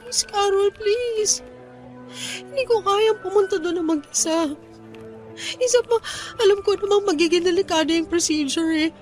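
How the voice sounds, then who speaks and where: A woman's voice: very high voice, moderate pace, long pauses, faint music behind. An actress playing a despairing but stubborn young woman in a Tagalog radio drama, speaking in a plaintive, pleading way. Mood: pleading, desperate. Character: Lyra, despairing, stubborn young pregnant woman feeling unwanted.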